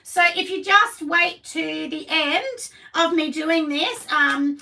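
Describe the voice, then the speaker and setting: A chatty Australian woman with a husky voice, hosting a live craft class and rambling to her viewers. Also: sits high, slow pace, pushed and bright. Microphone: camera set down at a distance. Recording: voice a bit distant from the mic.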